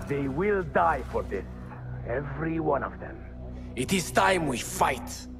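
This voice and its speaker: A man's voice: a little high, lively intonation, unhurried, creaky. An actor playing a proud, vengeful male rebel leader in a video game, defiant and heated, with music behind him. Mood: furious, defiant. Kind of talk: dramatic video game acting.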